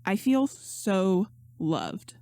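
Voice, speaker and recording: A woman voicing an embarrassed, grumbling young man in a story, deadpan and sarcastic. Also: medium pitch, drawn-out words, no echo, studio quality. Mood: dry sarcasm.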